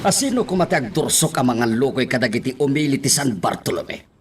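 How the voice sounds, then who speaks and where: An actor playing a domineering, suspicious middle-aged boss in a radio drama. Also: male voice, medium pitch, big pitch swings, fairly quick, soft background music, natural voice. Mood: stern, probing.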